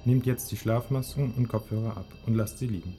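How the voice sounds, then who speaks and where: Mellow male audio play narrator. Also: lowish voice, fairly quick. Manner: direct address with questions and instructions. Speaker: narrator addressing the listeners. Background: music.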